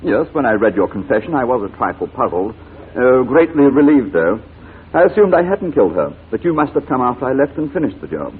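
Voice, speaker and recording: An actor playing a suave, brazen blackmailer in a 1940s radio drama, glib and nonchalant with mock courtesy. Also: male voice, medium pitch, big pitch swings, brisk, slight background noise, lightly acted. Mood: brazenly nonchalant. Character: suave, brazen male blackmailer and killer, coolly evasive. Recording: thin, phone-like 1940s radio recording.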